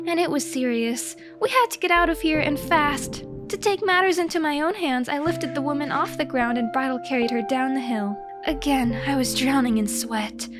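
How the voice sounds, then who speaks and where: A woman narrating a comedic diary story in a theatrical, mock-heroic, scripted style. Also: high voice.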